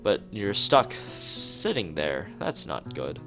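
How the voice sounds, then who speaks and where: A man's voice: sits low, big pitch swings, slow, full character voice. A man voicing a polite, concerned teenage schoolboy, the hero of a visual novel. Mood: mildly concerned. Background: guitar music.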